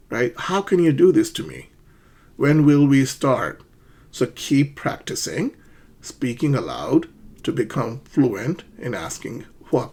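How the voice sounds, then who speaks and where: A man's voice: medium pitch, gravelly, darkish tone, slightly rough. A man teaching an English lesson, patient and encouraging, often checking in with "right?" and acting out sample questions. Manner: acts out sample questions with rising intonation. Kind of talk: ESL lesson.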